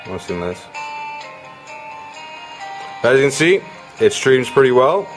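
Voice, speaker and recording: A man's voice: medium pitch, very animated, takes it slowly, music in the background, poor audio. A man giving a casual, conversational product demo as a gadget reviewer.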